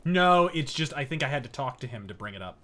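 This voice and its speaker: A man's voice: medium pitch, expressive, fast, sharp tone. A man hosting a live game stream, talking in a blunt, profane, opinionated way. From United States. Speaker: streamer host playing a game. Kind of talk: casual live-stream chat.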